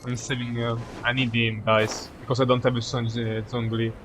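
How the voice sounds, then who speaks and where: A man streaming a game with casual live commentary, halting and rephrasing as he goes. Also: medium pitch, flat delivery, a bit bright, slight background noise.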